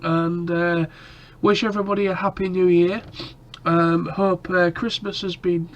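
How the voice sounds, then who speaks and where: A man's voice: high voice, little pitch movement, unhurried, lo-fi sound. A man chatting casually to camera in a rambling way, his nose stuffed up and coughing from a cold. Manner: rambling, lots of ums and restarts. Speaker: vlogger.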